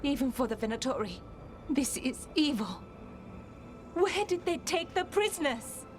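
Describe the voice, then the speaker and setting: A woman's voice: high-pitched, sing-song, a little slow, faint music behind. An actress playing an earnest, passionate female scout in a fantasy video game. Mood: shocked, indignant.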